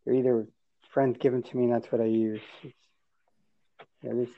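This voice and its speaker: A man raised with Yiddish and Hebrew, chatting casually in a plain, repetitive, low-key way. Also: little pitch movement, moderate pace, gravelly, phone-quality sound. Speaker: podcast co-host. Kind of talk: casual chat.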